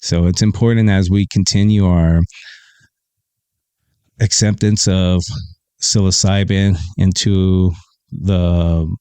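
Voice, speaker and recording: A man hosting a podcast, talking in a casual, laid-back and opinionated way with a deep, bassy voice, sometimes reading from a web page into a close desk mic. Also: little pitch movement, slow, long pauses, mellow, clean tone, no echo. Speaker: podcast host. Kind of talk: casual livestream talk.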